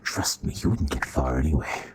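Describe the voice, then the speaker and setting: A man playing a charming, possessive royal vampire in an intimate ASMR roleplay, with a breathy, hushed, courtly and menacing delivery. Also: moderate pace. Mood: menacing, seductive. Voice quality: breathy whispering, very low voice.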